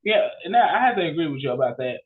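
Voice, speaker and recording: A man's voice: on the high side, big pitch swings, very fast. A young man co-hosting a podcast in casual chat, exclamatory and repeating himself for emphasis, over a thin phone-like connection. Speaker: podcast co-host.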